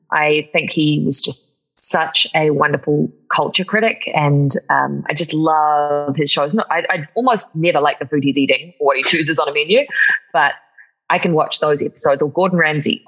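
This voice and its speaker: A young woman from New Zealand with a New Zealand accent, chatting as a guest in a relaxed interview, with a lilting upspeak. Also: deep voice, moderate pace, breathy edge, poor audio. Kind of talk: casual interview chat.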